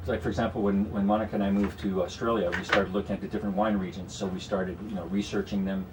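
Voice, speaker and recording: A man's voice: on the low side, little pitch movement, fast, distant mic, background noise. A man with a Canadian accent, a guest strategist explaining investments in a radio studio conversation, with many "you know"s. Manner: explanatory, talks in long runs with frequent "you know".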